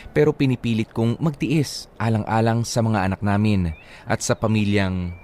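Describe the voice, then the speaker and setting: A man narrating an unhappy wife's letter for a radio drama in a measured, earnest way. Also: fairly low pitch, fairly even intonation, darkish tone, a little hiss or hum, close to an everyday voice. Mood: solemn.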